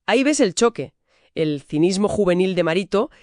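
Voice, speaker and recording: A woman with a Castilian accent talks through literature in a conversational co-host style. Her voice sits at a medium pitch, and the recording is dry, with no room around it. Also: lively intonation, moderate pace, darkish tone, dry recording. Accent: Castilian Spanish. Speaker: co-host of a book discussion.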